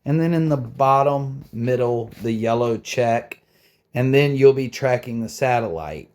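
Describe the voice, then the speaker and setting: A man's voice: mid-range voice, measured pace, a little mellow. A man hosting a hobby tutorial, talking informally and conversationally as he explains things step by step. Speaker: tutorial host, radio hobbyist. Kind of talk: casual how-to walkthrough.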